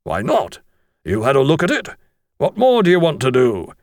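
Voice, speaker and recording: A man's voice: medium pitch, huge pitch swings, normal speed, no room sound, clean studio sound. A man voicing a brusque, impatient British schoolmaster with a curt, commanding manner in an audiobook. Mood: dismissive. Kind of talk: audiobook character voice.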